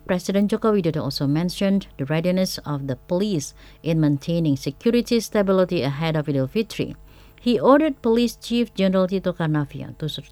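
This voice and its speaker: Woman, Indonesian accent, news presenter, scripted radio reading, lowish pitch, very dry acoustic. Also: dark tone, dry recording.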